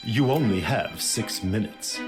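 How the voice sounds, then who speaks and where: A man's voice: lowish voice, expressive, measured pace. A man playing a smug, pompous nobleman gambler in an anime audio drama, taunting and condescending. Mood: mocking. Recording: studio voice over clear background music.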